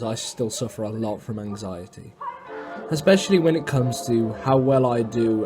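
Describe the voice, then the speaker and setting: A man giving a reflective, personal voice-over narration. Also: mid-range voice. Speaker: documentary narrator and pantomime performer. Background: music.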